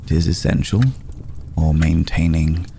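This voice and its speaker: A man playing an ancient, aristocratic male vampire in an ASMR roleplay, formal, courteous and brooding, with a soft, gravelly voice and deliberate pauses. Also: very deep voice, completely flat delivery, slight background noise, exaggerated voice. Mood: courteous, welcoming. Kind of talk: ASMR roleplay, slow intimate acting.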